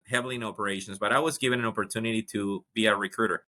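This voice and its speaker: A man's voice: fairly low pitch, steady tone, rapid-fire, sharp tone. A man from Ecuador, a guest telling his career story in a casual interview, with lots of fillers and restarts. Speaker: guest, HR executive.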